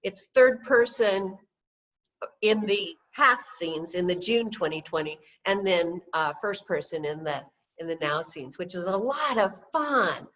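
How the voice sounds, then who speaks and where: A woman's voice: on the low side, lively intonation, a little slow, fairly bright tone, lo-fi sound. A woman in her sixties hosting a podcast over a video call, chatty and joking, laughing often. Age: 60s. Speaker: podcast host and novelist.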